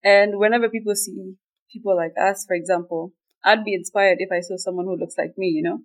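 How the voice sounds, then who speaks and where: A woman's voice: mid-range voice, brisk, low-quality recording. Twenties woman from Kenya, easygoing interview guest with frequent laughter. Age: 20s. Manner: relaxed, laughs often. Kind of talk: casual interview.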